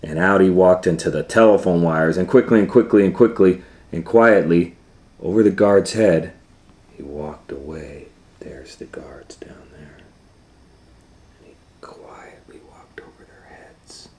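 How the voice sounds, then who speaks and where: Low-voiced man reading a children's story. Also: measured pace, a little hiss or hum. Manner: dramatic, hushing to near whispers and swelling with excitement; loose retelling with asides to young listeners. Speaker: storytime host and reader. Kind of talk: animated children's story read-aloud.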